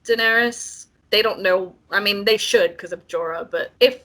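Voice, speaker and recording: A woman's voice: mid-range voice, normal speed, a bit bright. A woman co-hosting a casual book discussion, talking in an informal, wry way. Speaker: podcast co-host.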